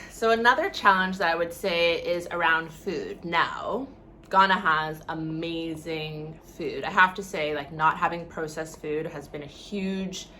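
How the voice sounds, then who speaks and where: Low-pitched Canadian woman, casual vlogger. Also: fairly bright tone, slight background noise. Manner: conversational, opinionated, frequent "like" and "you know". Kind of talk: casual talk to camera.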